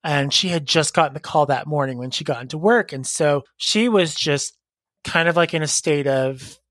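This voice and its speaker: Raspy-voiced earnest podcast host. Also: medium pitch, normal speed, fairly bright tone, no room sound, clean studio sound. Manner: earnest, heartfelt, rambling with fillers. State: raspy voice today. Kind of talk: casual storytelling and advice.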